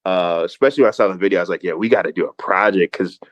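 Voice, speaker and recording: Male podcast host and producer, low voice; relaxed rambling talk over a dull, dry recording. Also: very fast, dry recording. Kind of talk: casual podcast chat. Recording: dull, muffled mic.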